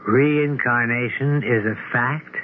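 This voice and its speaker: An actor playing a blunt, dry, skeptical scientist in a 1970s radio drama. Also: male voice, medium pitch, takes it slowly, natural voice. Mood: incredulous, scornful. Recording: tinny, noisy 1970s radio broadcast.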